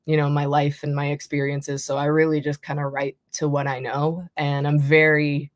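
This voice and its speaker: A young woman singer-songwriter with a deep, slightly raspy speaking voice, talking casually in an interview and rambling with many restarts. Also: flat delivery, moderate pace, no room sound, studio quality. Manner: rambling, lots of likes and restarts.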